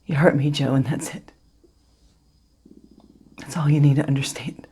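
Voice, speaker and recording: Woman acting husky, hurt, bitter wife. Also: deep voice, fairly even intonation, moderate pace, dark tone. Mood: firm, hurt. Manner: intense, accusing, controlled, dropping to near-whispers. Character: hurt, bitter wife confronting her cheating husband. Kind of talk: dramatic stage monologue.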